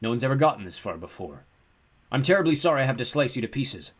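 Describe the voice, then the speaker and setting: A man acts out a lonely, ancient ram-headed giant guarding a gate in a storybook tale. The voice booms with a bleating edge, mildly courteous in its threats and heavy with melancholy. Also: sing-song, fast, slightly rough. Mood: regretful. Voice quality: booming, bleating.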